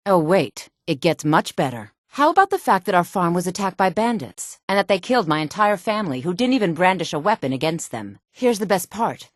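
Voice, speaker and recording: Hard-edged fantasy game woman, sultry, guarded, lowish pitch, very expressive intonation, pointed delivery. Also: no echo, clean studio sound. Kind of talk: video game character voice lines.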